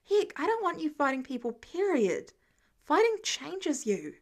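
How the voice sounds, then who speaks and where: A woman's voice: high voice, very animated, measured pace, voice pushed up, exaggerated voice. A woman voicing an earnest, slightly awkward teenage boy with an understated manner in a story reading.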